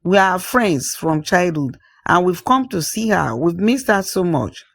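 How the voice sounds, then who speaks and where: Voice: medium pitch, very animated, unhurried, vocal fry, dry recording, full character voice. A storyteller voicing grown-up women friends in a folktale, affectionate, polite and emphatic. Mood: pleading. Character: warm, grown-up village woman reunited with childhood friends.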